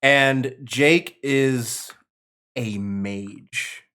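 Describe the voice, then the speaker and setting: A man's voice: mid-range voice, extremely slow, bright, forceful tone, clear, resonant tone. A man narrating stage directions at a table read in an informal, conversational way. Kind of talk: reading screenplay stage directions aloud, with casual asides.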